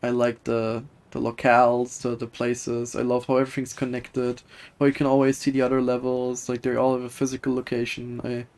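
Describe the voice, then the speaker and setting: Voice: medium pitch, monotone, a little fast, a little mellow. A streamer chatting casually over live gameplay, talking in hesitant, rambling asides. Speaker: video game streamer.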